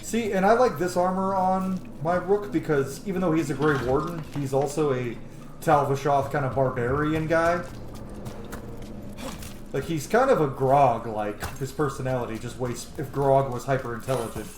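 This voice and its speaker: A man's voice: fairly high pitch, normal speed. A man doing casual, rambling, sweary let's play commentary as he streams a video game. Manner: rambling, sweary, self-joking. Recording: echoey room with clear background noise.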